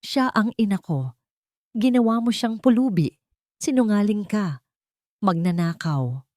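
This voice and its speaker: A woman's voice: mid-range voice, expressive, measured pace, warm tone, no room sound, clean studio sound, close to an everyday voice. A woman voicing a proud, wounded male CEO in an audio drama, cold and accusing. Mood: cold anger.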